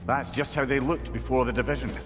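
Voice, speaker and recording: An actor with a Scottish accent playing a wry, learned older companion who tells stories drily in a video game. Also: male voice, mid-range voice, fairly even intonation, fast talker, music in the background, close to an everyday voice. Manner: dry, wry, storytelling. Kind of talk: video game dramatic dialogue.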